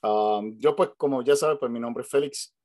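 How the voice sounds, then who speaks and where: A man's voice: medium pitch, moderate pace, a little mellow, no echo, clean studio sound. A man with a Puerto Rican accent, hosting a casual video call chat, talking hesitantly with many fillers and restarts. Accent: Puerto Rican Spanish. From Puerto Rico. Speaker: host. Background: family noise at home.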